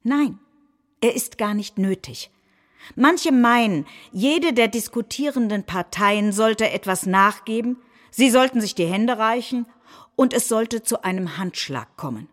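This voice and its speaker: A woman's voice: fairly high pitch, lively intonation, unhurried. A woman playing a combative nationalist head of government, delivering a political speech in a staged reading, solemn and declamatory. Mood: firm.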